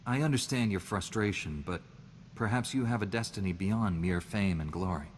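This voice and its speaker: A man playing a deep-voiced stern Jedi knight. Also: in a large hall, a little hiss or hum, close to an everyday voice. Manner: calm, reproving, measured. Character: earnest male Jedi knight, stern moral voice. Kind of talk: video game character dialogue.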